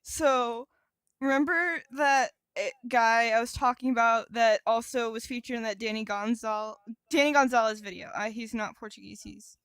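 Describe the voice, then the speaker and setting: A woman with a fairly high voice chats casually as a podcast co-host. She rambles and jokes, drifting into tangents. The sound is dry, with very little room to it. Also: moderate pace, a bit bright, clean tone, no room sound. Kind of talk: casual, joking podcast chat.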